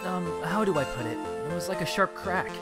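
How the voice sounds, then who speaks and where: Girl doing a naive college boy on trial, lowish voice, swings all over, stammery melodrama, loud music behind. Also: expressive, a little fast, lightly acted. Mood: earnest recounting. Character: naive, emotional young male college student defendant. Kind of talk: dramatic fan dub voice acting.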